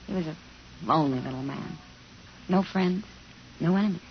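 A woman's voice: on the low side, normal speed, natural voice. A woman playing a jaded, worldly restaurant hostess in a 1950s radio drama, wry and matter-of-fact. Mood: wry, wistful. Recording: dull, faintly noisy 1950s radio recording.

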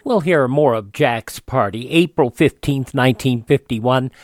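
A man's voice: mid-range voice, measured pace, no echo, clean studio sound. A man working as a radio host, reading scripted announcements and ads.

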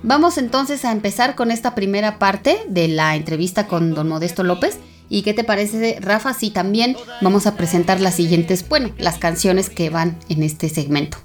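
A woman of middle pitch hosts a podcast in a friendly, casual way, pausing now and then to think. Soft music plays faintly beneath her voice. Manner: friendly, with fillers and thinking pauses. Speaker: podcast co-host. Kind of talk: radio-style podcast hosting.

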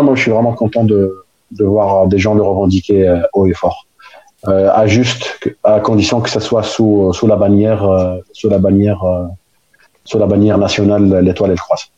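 A man's voice: lowish voice, fairly even intonation, moderate pace, mellow, highs cut off. A man from Algeria, a metal band singer, answering interview questions casually with many fillers and restarts.

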